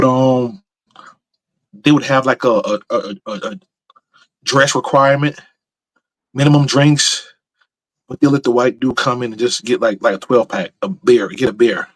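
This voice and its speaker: A man in an easygoing call-in chat, mid-pitched and loose, full of slang and filler phrases. His voice is recorded close and dry, with no room sound around it. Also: fairly even intonation, unhurried, pauses often, no room sound, low-quality recording. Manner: loose, slangy talk with frequent "bro" and "you know what I'm saying". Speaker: travel show host. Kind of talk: casual call-in show chat.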